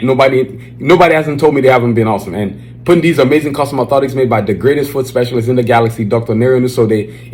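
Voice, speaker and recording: A man with a Nigerian accent hosting his own podcast, talking loosely and excitedly with lots of slang fillers. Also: mid-range voice, slight background noise. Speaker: podcast host. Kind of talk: casual solo podcast chat. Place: Dallas, Texas area.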